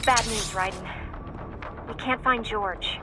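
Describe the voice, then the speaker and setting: A woman's voice: mid-range voice, takes it slowly, over music, lightly acted. An actress playing a concerned female mission-support operative in a video game. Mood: worried. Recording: clear background noise.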